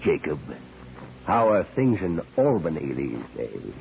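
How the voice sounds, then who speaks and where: A man's voice: low voice, very animated, unhurried, vocal fry, a little mellow, full character voice. An actor playing a cocky, slick, insinuating man in a 1940s radio drama. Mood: smug, menacing. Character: cocky, flirtatious bully who relishes power. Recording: noisy old 1940s radio recording.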